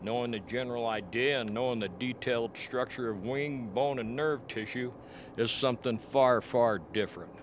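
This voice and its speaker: A man acts out a frank, grim scientist doctor in a radio play. He explains in a deliberate way at a middling pitch, over faint background noise. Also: natural voice. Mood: firm, explanatory. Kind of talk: amateur radio play acting.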